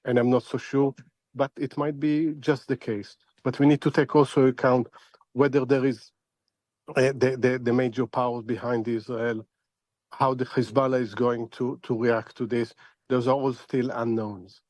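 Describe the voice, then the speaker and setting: Halting male analyst, dry close sound. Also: mid-range voice, flat delivery, darkish tone, no echo. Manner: halting, repeats words as he searches for phrasing. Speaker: foreign affairs analyst, interview guest. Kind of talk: live interview commentary.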